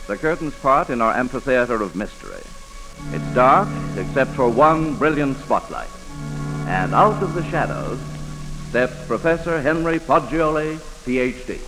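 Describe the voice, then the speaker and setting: An actor playing a courteous mystery host, announcing in a formal, theatrical 1940s radio manner. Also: male voice, medium pitch, lively intonation, normal speed, long reverb tail, over music, close to an everyday voice, poor audio. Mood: suspenseful, theatrical. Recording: old 1940s radio broadcast.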